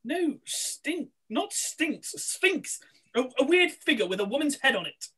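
A man's voice: very high voice, sing-song, measured pace, bright, forceful tone, heavily acted, low-quality recording. A young man playing a scheming, theatrical villain in an amateur pantomime read-through on a video call. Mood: smug. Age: young adult.

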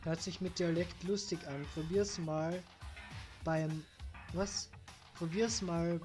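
Slow Styrian Austrian woman streamer. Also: fairly low pitch, a little mellow, soft background music. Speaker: let's play streamer. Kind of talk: reading game text aloud and chatting on a live stream.

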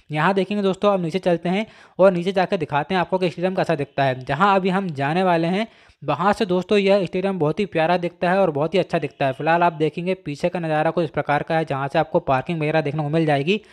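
A man, narrating a vlog as he walks through a stadium, chatty and addressing viewers as friends, filmed on a handheld phone. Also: on the high side. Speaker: vlogger. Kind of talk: walking tour vlog narration. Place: inside and around a stadium, walking. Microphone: phone camera held while walking.

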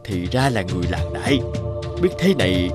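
A man's voice: sits low, very animated, a little fast, over music. An actor playing a proud, prejudiced middle-aged chicken farmer in a cartoon folktale, self-important and given to hesitating as he haggles. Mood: disdainful.

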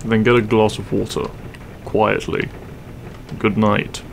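A man playing a grumpy but secretly caring half-dragon roommate in an audio roleplay, gruff, weary and blunt. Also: on the low side, level tone, slow pace, slight background noise. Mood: irritated, weary. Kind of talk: audio roleplay acting, spoken to the listener.

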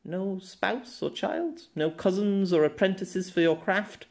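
Brief: high, playful young swordswoman who loves to tease, voiced by a man reading a storybook. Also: measured pace, vocal fry. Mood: questioning. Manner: bright, teasing, high lilt.

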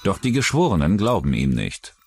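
Deep, animated true-crime narrator, a man. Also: a little fast, slightly rough. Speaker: documentary narrator. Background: music behind the voice.

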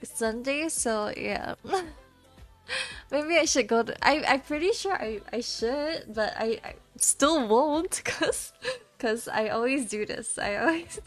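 Tired, giggly young woman streamer. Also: high-pitched. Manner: soft, halting, stammering, frequent giggles. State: tired, slightly scratchy voice. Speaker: VTuber streamer. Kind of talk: casual livestream chat while drawing.

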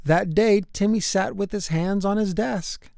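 A woman's voice: mid-range voice, measured pace, vocal fry, warm tone, dry recording, clean studio sound. A woman narrating a rhyming children's storybook expressively, in a way that is easy to follow. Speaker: children's book reader.

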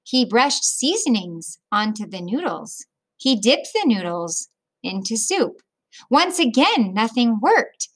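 A middle-aged woman reading a picture book aloud to children like a teacher, with expressive, deliberate phrasing. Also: on the high side. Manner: deliberate phrasing with explanatory asides to young listeners.

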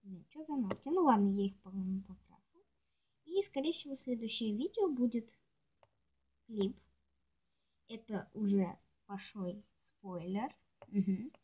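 A woman's voice: mid-range voice, animated, a little slow, long pauses, darkish tone, husky. A woman video blogger chatting casually and ramblingly to herself and her viewers while showing things, chewing gum as she talks. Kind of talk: casual chatty nail polish show-and-tell.

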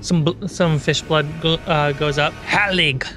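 A man's voice: on the high side, steady tone, unhurried, sharp tone. A man acting as game master, casually narrating and refereeing a tabletop roleplaying game. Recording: background music under voice.

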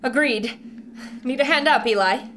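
An actress playing a plucky reporter narrating live danger in an old-time pulp radio adventure. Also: female voice, sits high, moderate pace, fairly bright tone. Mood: wary. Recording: very noisy sound-effect ambience.

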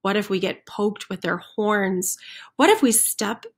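A woman's voice: mid-range voice, unhurried. A woman voicing cowardly, anxious storybook chickens that fret over every danger, chiming in one after another. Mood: anxious, worried.

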